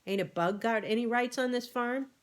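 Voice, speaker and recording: A woman's voice: on the high side, normal speed, voice pushed up, close to an everyday voice. Folksy old horse read by a woman. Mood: questioning. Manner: folksy, rustic grammar.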